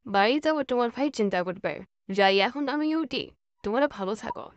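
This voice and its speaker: A woman's voice: fairly high pitch, lively intonation, fast talker, no room sound, muffled recording. A woman voicing a chatty, curious village neighbour woman in a cartoon story. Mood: friendly. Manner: chatty, inquisitive. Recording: loud music behind the voice.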